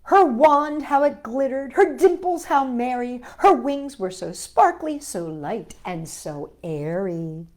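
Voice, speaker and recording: High-voiced, animated woman reading to children. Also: slow, few pauses. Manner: expressive, playful, asks listeners questions. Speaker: children's storytime host. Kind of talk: animated read-aloud and chat for young children.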